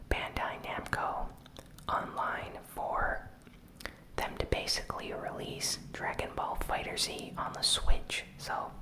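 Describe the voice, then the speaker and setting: The voice is a soft, breath-only whisper from start to finish. This ASMR host recaps gaming news at a slow, deliberate pace. Short phrases are set apart by small pauses. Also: poor audio.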